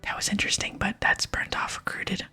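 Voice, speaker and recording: A woman giving a hushed, soft-spoken ASMR reading of video game dialogue as its host. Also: brisk, faint music behind.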